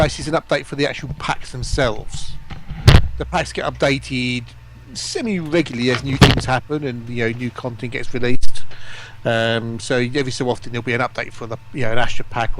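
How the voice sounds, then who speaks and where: Male stream guest, medium pitch, informal explainer in casual conversation. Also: steady tone, fairly quick, noisy background. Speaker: stream participant explaining voice packs. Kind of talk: casual live stream chat.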